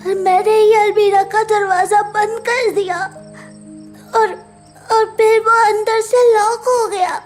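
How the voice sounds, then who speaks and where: A performer voicing an apologetic young boy in an audio horror drama, pleading haltingly like a child and devoted to his mother. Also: very high-pitched, steady tone, faint music behind. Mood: tearful, apologetic.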